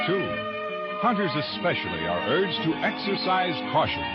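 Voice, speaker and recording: An American man reading scripted announcements in a formal, emphatic 1950s radio style. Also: on the high side. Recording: muffled old radio broadcast, faint music.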